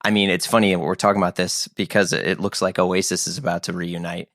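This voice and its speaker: A man's voice: low voice, flat delivery, fairly quick, a bit bright, no room sound, clean studio sound. A man hosting a casual podcast interview, enthusiastic, laughing often and restarting his sentences. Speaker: podcast host.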